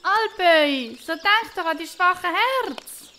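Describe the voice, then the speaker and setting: A naive young mountain girl chirps in a very high, bright voice with lively swoops. She is sweet and eager, as in a comic radio play. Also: slow, a little hiss or hum, heavily acted. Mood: worried. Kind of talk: comic audio drama acting.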